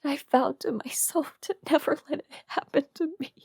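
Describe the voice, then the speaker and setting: Woman acting a high-voiced, anguished young queen. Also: steady tone, brisk, gravelly, darkish tone, no room sound, studio quality. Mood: grieving, haunted. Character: young distraught queen, proud, trapped and tearful. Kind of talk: historical romance audio roleplay, dramatic monologue.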